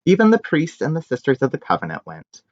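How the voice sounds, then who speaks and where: A man's voice: fairly high pitch, fast. A man reading a children's picture book aloud, telling it expressively with casual asides. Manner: expressive storytelling. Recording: muffled, echoey room recording.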